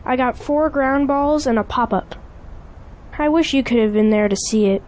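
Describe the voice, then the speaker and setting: A high-voiced performer in an audio drama playing a downcast, hesitant young schoolboy. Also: female voice, moderate pace, a little mellow, slight background noise, close to an everyday voice. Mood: subdued, disappointed.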